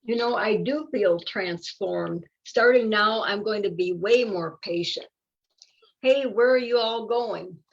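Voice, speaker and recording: A woman's voice: medium pitch, takes it slowly, creaky. An older woman voicing an impatient, boastful yet anxious young male caterpillar in a children's picture book, eager and exclamatory. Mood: eager, proud. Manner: eager, self-coaching, exclamatory. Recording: dull lo-fi audio.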